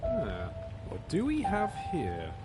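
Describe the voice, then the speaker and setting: A man voicing an inquisitive, mannered pig detective in a video game, musing in drawn-out, politely curious remarks. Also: fairly high pitch, wildly swooping pitch, heavily acted. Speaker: game character. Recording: loud music behind the voice. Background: loud game music.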